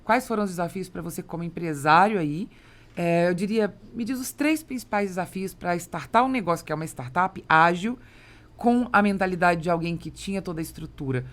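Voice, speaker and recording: Fast-talking Brazilian woman hosting a podcast. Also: fairly low pitch. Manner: emphatic, explanatory, interjects agreement. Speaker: podcast host and interviewer. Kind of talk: conversational business podcast talk.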